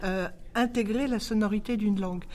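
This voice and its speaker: A woman who explains her thinking in a careful, deliberate way. Her voice sits at an ordinary pitch for a woman. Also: steady tone, darkish tone, a little hiss or hum. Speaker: workshop participant.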